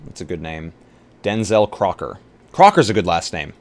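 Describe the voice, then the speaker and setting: Low-voiced man chatting casually on stream. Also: big pitch swings, moderate pace. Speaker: live-stream roleplay player. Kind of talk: casual chat with stream viewers and co-host.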